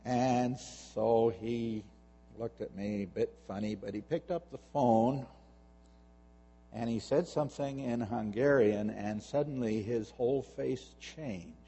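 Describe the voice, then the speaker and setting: A man telling a personal story out loud, halting and repeating words as he goes, with a long hall reverb around his voice. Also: medium pitch, husky.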